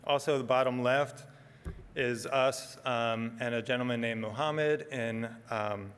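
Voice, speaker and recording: Soft-spoken middle-aged guy giving a conference talk, lots of ums, long echo in a big hall. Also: medium pitch. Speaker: conference speaker.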